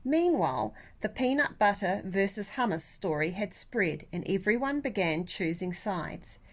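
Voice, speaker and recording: Woman reading a kids story out loud, really lively pitch, takes her time. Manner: animated, deliberate, with dramatic emphasis. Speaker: teacher reading a children's story aloud. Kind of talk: expressive picture-book storytelling.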